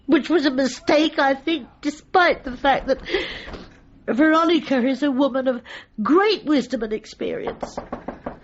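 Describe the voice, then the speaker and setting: Genteel British woman, unhurried, effusive, defiant dog lover, radio comedy, slightly dull recording. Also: high-pitched, slight background noise. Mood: tearful, nostalgic. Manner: effusive, melodramatic, genteel turns of phrase. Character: feeble but defiant grieving woman, devoted to her dog. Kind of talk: radio comedy drama acting.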